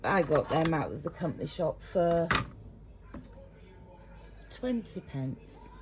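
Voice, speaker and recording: A woman's voice: sits low, lively intonation, slow pace. A middle-aged English woman, a home cook, talking in a rambling, chatty, self-conscious way in her kitchen. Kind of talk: casual cooking vlog. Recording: noisy home recording.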